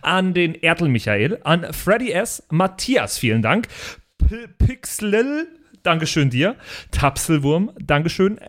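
A man with a high voice co-hosting a tabletop podcast. He reads out thanks cheerfully and jokes in between, in a casual way. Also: very animated, measured pace. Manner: cheerful, joking. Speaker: podcast co-host. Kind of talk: casual chat, reading thanks.

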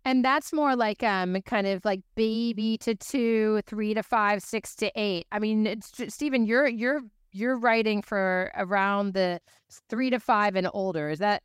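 A woman with a clear, smooth voice of medium pitch and a dry, close sound. She interviews conversationally, feeling out her phrasing with measured care. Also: no room sound. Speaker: podcast host, interviewer.

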